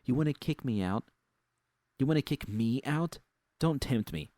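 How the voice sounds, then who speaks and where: A man's voice: medium pitch, normal speed, mellow, no room sound, pitched above the performer's own voice, close to an everyday voice. A man voicing a jaded, defiant woman technician in an audiobook, with a blunt, combative manner. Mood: defiant anger. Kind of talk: audiobook character dialogue.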